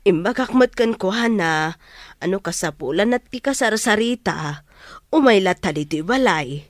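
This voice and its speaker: An actress playing a calm, wise middle-aged aunt who advises gently but firmly in a Filipino radio drama. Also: female voice, mid-range voice, moderate pace, dry recording, clean studio sound, natural voice. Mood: gentle.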